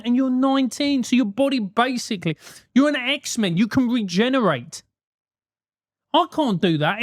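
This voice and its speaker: South London male host, animated advice rant, loose and profane, dry close mic. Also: very high-pitched, no room sound. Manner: animated, emphatic, swears freely.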